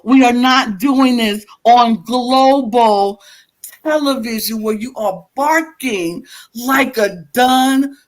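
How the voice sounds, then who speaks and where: Fiery woman podcaster ranting. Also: fairly high pitch. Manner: fiery, emphatic, repetitive outbursts. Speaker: podcast co-host. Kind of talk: heated casual rant.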